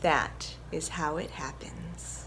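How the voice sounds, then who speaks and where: A woman reading aloud and teaching music to young children in a gentle, encouraging, instructive manner. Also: deep voice, steady tone, slow pace, a little hiss or hum, low-quality recording. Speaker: violinist presenting a children's story time. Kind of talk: picture book read-aloud and music lesson for children.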